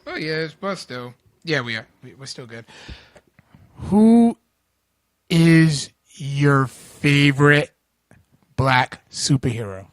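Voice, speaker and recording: A male co-host chats easily on a podcast, his voice a touch high and lively in pitch. The sound is dry and close. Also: takes it slowly, long pauses, no echo. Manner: easygoing, laughing interjections. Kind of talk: casual podcast chat.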